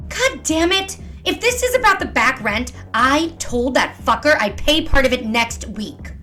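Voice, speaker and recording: Actress as shrill, combative tenant. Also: female voice, big pitch swings, a little slow, bright, forceful tone, faint music behind, exaggerated voice. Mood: outraged. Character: foul-mouthed, combative, high-strung female tenant. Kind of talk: audio drama acting.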